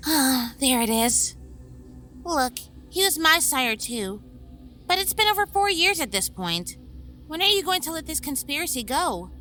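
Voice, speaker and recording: A woman playing a brisk, teasing, easily exasperated vampire researcher in an audio drama. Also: high voice, very animated, sharp tone, soft background music, exaggerated voice.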